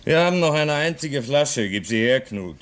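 A man's voice: mid-range voice, expressive, normal speed, lightly acted. An actor in a German radio play as a homesick, restless man at a polar station, brooding and theatrical, swinging between muttering and outcry. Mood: restless, defiant.

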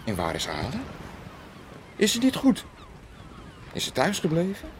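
A man's voice: on the high side, wildly swooping pitch, measured pace. Old fisherman dad, stunned, voice swings wildly up and down, noisy background. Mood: warm. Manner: dazed, repeating himself in shock.

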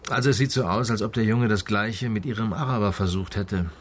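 A man playing a calm, methodical police inspector in a radio crime drama, speaking in a measured, matter-of-fact way. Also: lowish voice, a little hiss or hum, natural voice. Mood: sober, factual. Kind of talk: naturalistic German radio drama dialogue.

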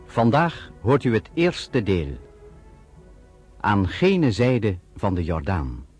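Slow, formal male radio announcer. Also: lowish voice, very animated, a little mellow, soft background music.

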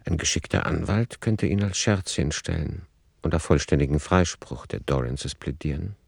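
A man reading scripted radio drama narration in a formal, matter-of-fact, measured manner. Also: very deep voice, gravelly, a little mellow, dry recording, clean studio sound.

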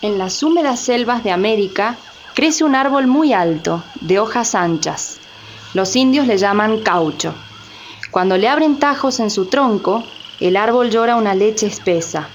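An earnest storyteller played by a woman narrates in a mid-pitched voice for a radio play. The recording is a little dull, with faint background noise. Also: animated, measured pace, close to an everyday voice. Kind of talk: scripted narration in radio drama.

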